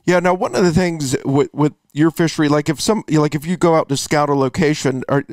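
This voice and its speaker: A man hosting a casual interview podcast, halting and rephrasing as he goes. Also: a little high, darkish tone, no echo. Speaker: podcast host.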